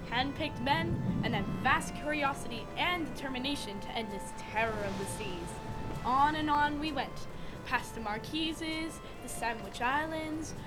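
Young woman as high-voiced earnest professor, music underneath. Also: low-quality recording. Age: teenager. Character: earnest, curious male French professor narrating his sea voyage. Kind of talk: youth radio theatre acting. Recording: radio play with loud music underneath.

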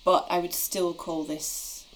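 Woman, podcast host, lowish voice, hesitant, restarts sentences, slight background hiss. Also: little pitch movement, slow, poor audio. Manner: hesitant, restarts phrases, warm affirmations. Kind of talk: conversational interview.

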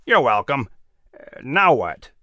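A man voicing a kind, calm male eagle who speaks in a gentle, protective way. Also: medium pitch, takes it slowly, no echo, studio quality, heavily acted.

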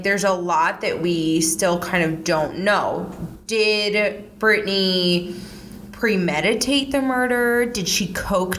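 A woman's voice: lowish voice, a bit bright. Woman podcast host, casual chatty storyteller, fillers, faint noise under her voice. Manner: conversational with frequent "like" fillers. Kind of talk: casual true-crime podcast chat.

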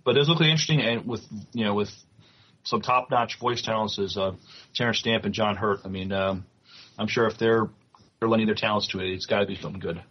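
A man co-hosting a podcast, reading news and chatting, with halting delivery when reading aloud. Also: lowish voice. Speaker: podcast co-host. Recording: muffled, dull lo-fi audio.

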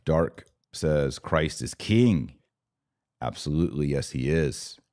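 A man's voice: a bass voice, expressive, slow, darkish tone. An American man hosting a podcast, giving emphatic, opinionated live commentary on politics and religion in short clipped phrases. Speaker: podcast host.

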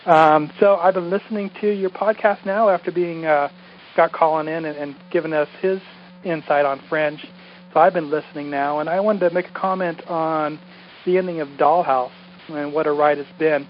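A man's voice: fairly high pitch, fairly even intonation, moderate pace, soft background music. A man who podcasts, leaving a casual, rambling message over a muffled phone line. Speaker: podcaster calling in. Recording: muffled phone voicemail, faint noise.

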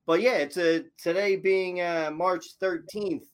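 A man's voice: high-pitched, a little slow, smooth. Easygoing man hosting a podcast. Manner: relaxed, filler-heavy questioning. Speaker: podcast host. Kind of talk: casual interview chat.

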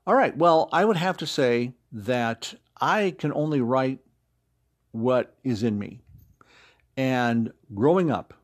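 A man giving casual recorded interview answers as a guest, rambling and expressive, with a joking storyteller's way of talking. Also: mid-range voice, slow. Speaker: interview guest, audio drama writer and actor.

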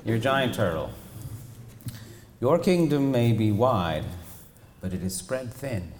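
A man voicing a giant ancient male swamp turtle who talks slowly and menacingly. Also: medium pitch, sing-song, a little mellow. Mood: haughty. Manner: slow, heavy, drawn-out delivery.

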